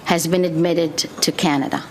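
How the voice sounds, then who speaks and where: Woman minister dodging questions, hesitant, lowish voice, faint room noise. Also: steady tone, moderate pace. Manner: evasive, hesitant. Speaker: government minister. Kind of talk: parliamentary answers.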